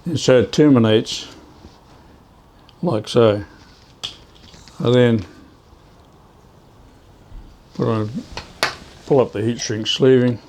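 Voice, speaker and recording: Halting man giving a hands-on DIY demo. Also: mid-range voice, a little mellow, slight background noise. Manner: halting, pausing mid-phrase to search for words. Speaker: presenter of DIY radio tips. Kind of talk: hands-on demonstration, casual and unscripted.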